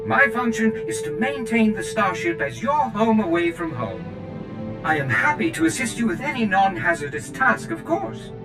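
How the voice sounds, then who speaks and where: A man's voice: sits high, sing-song, normal speed. A man voicing a fussy, eager-to-please steward droid in a video game, speaking formally and obsequiously. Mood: eager, servile. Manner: formal, obsequious, proud of its service. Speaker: ship steward. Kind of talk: video game character acting. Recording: music behind the voice.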